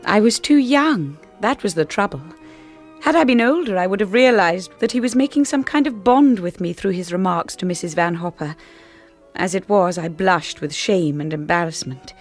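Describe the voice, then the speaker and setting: An actress as a shy young woman working as a lady's companion in a radio play. She speaks gently and earnestly at a middling pitch, with a well-spoken, slightly hesitant delivery suited to intimate first-person storytelling. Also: animated, normal speed, soft background music, natural voice. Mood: wistful, reflective. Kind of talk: radio drama acting and first-person narration.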